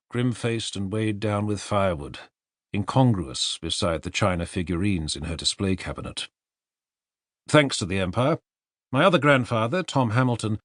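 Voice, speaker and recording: Male narrator for serious non-fiction audiobook. Articulate, emphatic, animated melody, very dry close studio sound. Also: on the low side, no echo.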